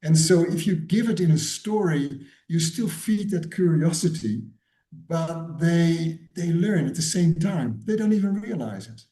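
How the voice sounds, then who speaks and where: Dutch-born man in his sixties, mellow, roomy. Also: on the high side, animated, measured pace. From Netherlands. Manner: thoughtful, repeats words while searching for phrasing. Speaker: guest author and educator. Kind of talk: interview answers. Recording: roomy echo.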